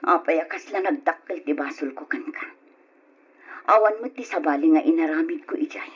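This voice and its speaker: A woman acting a weary, caring mother and long-suffering wife with a husky voice in an old Ilocano radio drama. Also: low-pitched, moderate pace, muffled recording. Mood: pleading.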